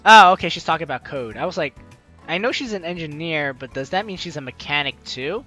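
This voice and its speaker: A woman's voice: low-pitched, expressive, brisk, a bit bright. A woman doing a playful, slangy let's play, reading dialogue aloud and commenting casually. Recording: faint music behind the voice.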